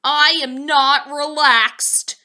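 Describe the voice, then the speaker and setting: Storybook animal male, fussy and rattled female performer: raised pitch, very bright, emphatic and drawn out. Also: fairly even intonation, extremely slow, heavily acted. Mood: rattled, insistent.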